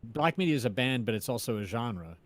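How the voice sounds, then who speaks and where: A man's voice: medium pitch, expressive, brisk, crowd noise. A man co-hosting a casual, opinionated chat about music. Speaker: co-host.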